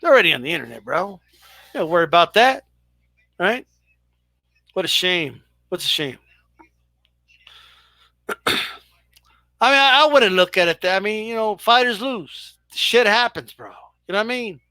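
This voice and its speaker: A man's voice: sits high, animated, normal speed, pauses often, pushed and bright, no room sound. Young male stream host, dry close sound, loose profane banter with chat. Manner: profane, chatty, banters with viewers. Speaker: boxing live-stream host. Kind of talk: casual live commentary to chat.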